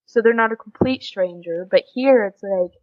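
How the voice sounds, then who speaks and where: A woman's voice: mid-range voice, normal speed. Chatty young American woman, slightly dull recording. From USA. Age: young adult. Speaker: podcast guest, exchange student. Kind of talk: casual interview chat.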